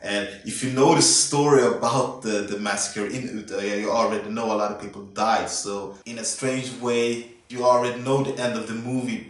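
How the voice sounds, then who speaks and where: A man's voice: mid-range voice, fairly bright tone, distant mic. A man giving a casual, enthusiastic movie review vlog, rambling with fillers and restarts. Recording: very echoey room, away from the mic.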